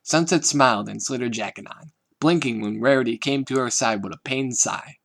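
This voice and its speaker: A man narrating a fan-written story aloud. His voice sits at an ordinary middle pitch and he reads in a steady, soft manner. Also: normal speed, gravelly. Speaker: fanfiction narrator. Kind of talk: audiobook-style story narration.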